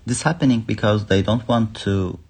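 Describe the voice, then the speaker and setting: A man with a Turkish accent gives a spoken testimony in a very low register. His tone is dark and husky, and he delivers it haltingly, in short phrases. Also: dry recording. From Turkey. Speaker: activist giving testimony as a guest.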